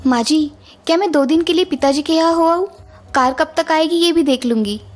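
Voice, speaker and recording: A woman's voice: high voice, fairly quick, lightly acted. A woman playing a polite, dutiful young daughter-in-law in a cartoon story, speaking earnestly. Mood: polite, reassuring. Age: young adult. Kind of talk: Hindi cartoon story acting.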